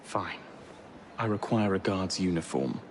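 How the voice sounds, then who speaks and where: Wary, low-voiced lawman. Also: lively intonation, unhurried. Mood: grudging. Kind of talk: video game cutscene acting. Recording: noisy ambience behind voice.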